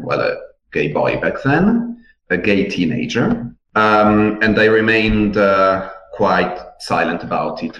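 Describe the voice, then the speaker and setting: A man in his thirties from Italian-speaking Switzerland, a native speaker of Italian and French, answering interview questions in measured, slightly formal wording. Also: sits low, fairly bright tone. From southern, Italian-speaking Switzerland. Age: 30s. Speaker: podcast guest. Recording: echoey, reverberant room.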